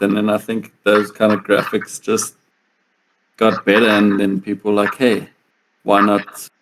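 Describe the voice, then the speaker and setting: A man's voice: fairly low pitch, background noise. A man giving his thoughts casually as a discussion partner on a talk show. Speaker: co-host or guest in discussion. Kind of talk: casual chat.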